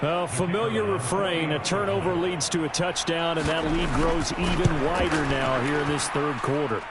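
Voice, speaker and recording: An actor playing a brisk, upbeat football play-by-play announcer in a video game, with an American accent and clipped, punchy delivery. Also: male voice, a little high, natural voice. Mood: excited. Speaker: play-by-play sports commentator. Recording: loud stadium noise behind. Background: stadium ambience of a football video game.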